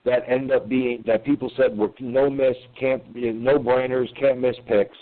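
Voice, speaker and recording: A man, co-hosting a casual sports podcast, animated, swearing and tripping over his words. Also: medium pitch, unhurried. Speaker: podcast co-host. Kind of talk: casual sports call-in chat. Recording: tinny phone-like line.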